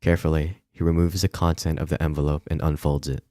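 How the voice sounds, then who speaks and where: A man reading a visual novel aloud as dramatic, measured narration. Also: very deep voice, little pitch movement, dark tone, no echo, studio quality.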